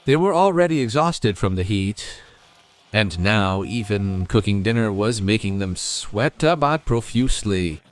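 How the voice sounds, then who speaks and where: A man's voice: lowish voice, lively intonation, a little slow. A man reading scripted narration for a story as the storyteller.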